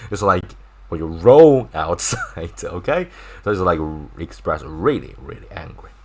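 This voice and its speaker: A man's voice: deep voice, sing-song, unhurried, a little mellow. A man from China with a Chinese accent, a native Chinese speaker, teaching an informal language lesson and repeating words to demonstrate pronunciation.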